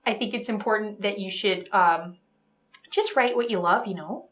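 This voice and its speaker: A woman who speaks in an earnest, halting, apologetic way, as a guest novelist on a comedy show. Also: mid-range voice, a bit bright. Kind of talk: dramatic reading of romance prose, then casual interview talk.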